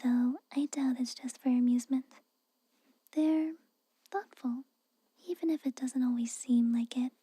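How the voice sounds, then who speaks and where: Woman as a whispering, breathy tsundere maid. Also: high-pitched, measured pace, long pauses, mellow, no room sound, heavily acted. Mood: defensive, cautioning. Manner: hesitant, reluctant, aloof and chiding. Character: tsundere young female castle maid, haughty, guarded, secretly caring. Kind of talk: whispered ASMR roleplay monologue.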